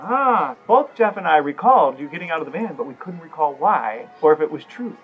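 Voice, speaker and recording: A man with an American accent and a fairly high voice talks casually as a podcast co-host, putting questions in a conversational way. The audio is slightly dull and lo-fi, with some music behind him. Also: sing-song, fairly quick. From Ohio, United States.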